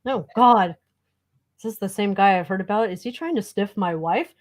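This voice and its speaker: A woman's voice: medium pitch, moderate pace, voice pushed down, lightly acted. A woman voicing a flustered, awkward young royal man in an improvised comedic scene.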